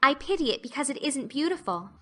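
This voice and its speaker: A woman acts an imaginative young orphan girl in a storybook drama. The voice is clear-edged, bright and fairly high, pouring out earnest, flowery chatter with a dreamy temper. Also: sing-song, fast talker, heavily acted. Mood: plaintive. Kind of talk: audiobook acting.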